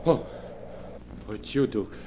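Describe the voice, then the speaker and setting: A man's voice: a little slow, over music, natural voice. A man acting a nervous gangster sidekick in 1940s radio-drama style, placating and jittery.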